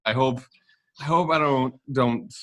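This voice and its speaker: A man's voice: medium pitch, unhurried, a bit bright. A young man chatting casually about acting in an ad, rambling and self-deprecating with many fillers. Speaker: actor in the ad. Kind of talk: casual chat.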